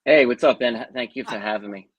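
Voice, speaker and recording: A man's voice: medium pitch, brisk, fairly bright tone, dry recording. New York man telling his story, dry mic. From Long Island, New York. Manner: chatty, tells long stories with asides. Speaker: guest. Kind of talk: casual interview storytelling.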